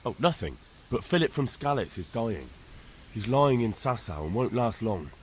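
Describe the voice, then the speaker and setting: An actor playing an earnest, helpful young medieval villager in a video game, speaking plainly. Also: male voice, on the low side, sing-song, normal speed, a little hiss or hum. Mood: earnest, asking. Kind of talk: video game cutscene dialogue.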